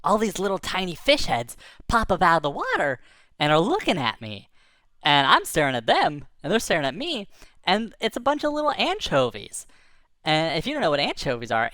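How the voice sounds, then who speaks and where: A woman improvising a children's story in a casual, animated, conversational way, acting out the dialogue as she goes. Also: medium pitch, brisk, no room sound.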